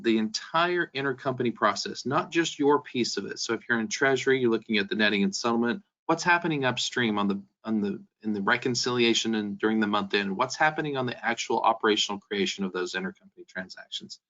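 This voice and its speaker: A man presents a business webinar in a mid-pitched voice. He explains things step by step and addresses the listener directly. The audio is dull and limited in range. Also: animated, brisk, hardly any pauses, fairly bright tone. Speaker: software company presenter.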